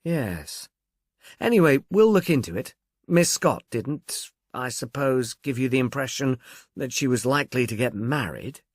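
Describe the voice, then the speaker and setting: Man playing a brisk police inspector, animated intonation. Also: mid-range voice, no room sound, clean studio sound. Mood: probing. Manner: dispassionate, official, probing. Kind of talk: audiobook character dialogue.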